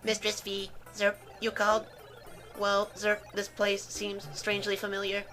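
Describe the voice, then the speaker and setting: A man voicing a rude robot helper in a video game, raspy and snippy. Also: sits high, monotone, a little slow, pushed and bright, soft background music, pitched above the performer's own voice. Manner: snippy, dismissive.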